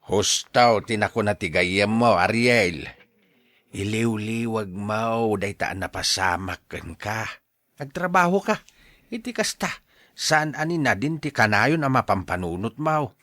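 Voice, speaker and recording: Blunt male best friend for radio drama, played by a man: Ilocano accent, animated, exhorting, dry close sound. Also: lowish voice, measured pace, no echo. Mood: firm encouragement. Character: blunt, scolding male friend urging a heartbroken man onward.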